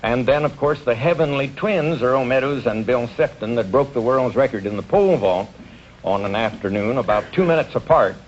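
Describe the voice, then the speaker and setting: Earnest older coach, folksy. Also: male voice, mid-range voice. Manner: earnest, folksy, halting with uhs. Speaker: track coach, quiz contestant. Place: TV studio stage. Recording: dull 1950s TV audio with hiss.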